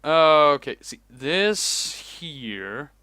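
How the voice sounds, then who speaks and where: Guy rambling thru his vlog at his computer, takes his time, smooth clear voice. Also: on the high side, sing-song, sharp tone, no room sound. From Denmark. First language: Danish. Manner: rambling, unhurried, chatty. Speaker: host. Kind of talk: casual reaction vlog commentary. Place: home, alone at a computer.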